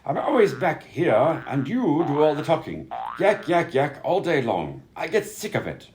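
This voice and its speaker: Guy doing bickering siamese twins in a radio comedy, officious then whiny, pitch all over the place. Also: sing-song, moderate pace, darkish tone, a little hiss or hum. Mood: bickering, peevish. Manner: squabbling, peevish, swinging between two personas. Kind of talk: comedic radio play acting.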